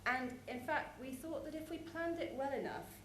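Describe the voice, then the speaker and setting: A woman giving a prepared conference presentation, explaining things in measured phrases with deliberate pauses. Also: high voice. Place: large conference hall with an audience. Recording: echoey conference hall, clear background noise.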